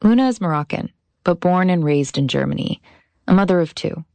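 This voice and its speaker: A woman in her thirties hosting and narrating a scripted documentary podcast, measured and deliberate, slowing down on key phrases. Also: lowish voice, expressive, vocal fry, mellow, no echo.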